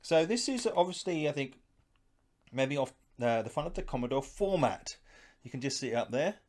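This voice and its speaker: A man's voice: medium pitch, big pitch swings, moderate pace, darkish tone. A middle-aged man giving casual, unscripted unboxing commentary, musing aloud as he handles things. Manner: musing, thinking aloud while handling items. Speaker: host of a retro gaming unboxing channel.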